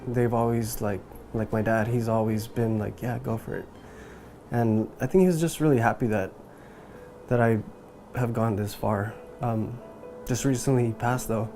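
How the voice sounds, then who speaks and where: Mellow-voiced man in a casual interview. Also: medium pitch, fairly even intonation, faint music behind. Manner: informal, halting. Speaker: artist interviewee.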